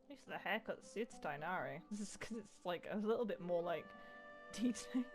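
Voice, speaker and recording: A woman's voice: fairly low pitch, lively intonation, normal speed, music in the background. A woman doing casual gameplay commentary, chatty, sarcastic and prone to swearing. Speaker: gamer, let's player.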